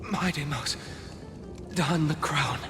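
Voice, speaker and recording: A man's voice: on the high side, slow. An actor playing an earnest, loyal dying warrior in a game, grave and pleading in his delivery. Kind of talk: dramatic game voice acting. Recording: long reverb, music behind.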